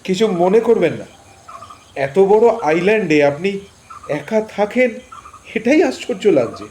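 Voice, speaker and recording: A man's voice: high-pitched, big pitch swings, a little slow, darkish tone, a little hiss or hum. A man playing a wary, stranded young man.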